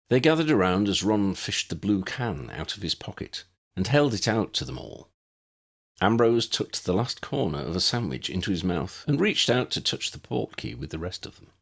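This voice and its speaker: A man's voice: low-pitched, vocal fry, fairly bright tone, slightly rough. A man reading scripted fiction aloud as an audiobook narrator.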